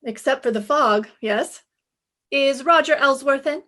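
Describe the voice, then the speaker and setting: A woman's voice: high voice, unhurried, a bit bright. A woman playing a brash, wisecracking young private detective in a retro radio drama, wry and self-assured. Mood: polite, direct.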